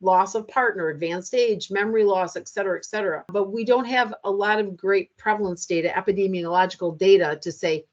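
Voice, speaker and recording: A middle-aged American woman giving an online training lecture in a measured, explanatory way, speaking directly to her audience. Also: mid-range voice, lo-fi sound. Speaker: lecturer on loneliness in later life.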